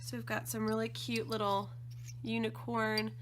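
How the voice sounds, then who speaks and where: Slightly croaky, gushing woman. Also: on the high side, little pitch movement, normal speed, very noisy. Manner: gushing, enthusiastic. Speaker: scrapbooking design team member. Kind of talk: casual unboxing chat.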